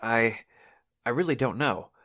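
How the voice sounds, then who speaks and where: A man's voice: medium pitch, expressive, a little slow, fairly bright tone. A man playing a hesitant, deferential restaurant employee in a 1940s radio drama, polite in manner.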